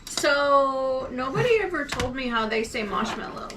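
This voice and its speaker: A woman's voice: sits high, lively intonation, normal speed. A woman bantering casually and playfully in short interjections. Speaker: co-host, online reseller. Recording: noisy room, distant from mic, clicks.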